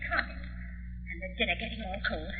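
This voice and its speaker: Actress as exasperated, nagging housewife. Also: female voice, medium pitch, a little fast, lightly acted. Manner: scolding, fussy. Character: exasperated, nagging middle-aged wife and mother. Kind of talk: 1940s radio acting. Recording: dull vintage radio with faint hum.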